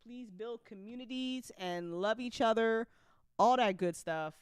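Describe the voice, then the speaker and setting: A woman hosting a casual livestream chat as an audio drama creator, animated and joking, with informal, sweary talk full of y'all. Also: on the high side, a little slow, clean tone, dry recording, studio quality. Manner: informal speech with frequent y'all. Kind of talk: casual livestream chat answering audience questions.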